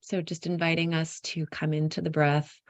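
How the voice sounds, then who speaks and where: A woman leading a guided meditation, giving gentle instructions in an unhurried, softly inviting way with pauses mid-phrase. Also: low-pitched, flat delivery, dry recording.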